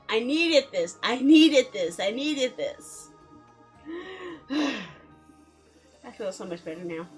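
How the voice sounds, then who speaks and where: A woman streaming a game in a casual, expressive, chatty way, reading story text aloud and reacting to it. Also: sits high, very slow, pauses often. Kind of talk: casual live let's play, reading visual novel text aloud. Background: background music.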